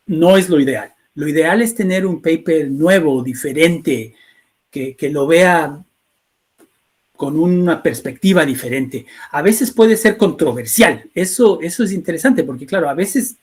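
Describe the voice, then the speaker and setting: A middle-aged man from Ecuador giving a relaxed webinar lecture, often restarting phrases and tagging sentences with questions. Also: a little high, a little slow. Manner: frequent fillers and restarts, rhetorical tag questions. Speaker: webinar speaker, researcher. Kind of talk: lecture-style talk.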